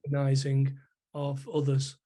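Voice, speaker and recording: A man working as a psychotherapist, answering interview questions thoughtfully with a rough voice from a chest infection. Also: medium pitch, completely flat delivery, normal speed, warm tone. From England. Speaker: psychotherapist guest.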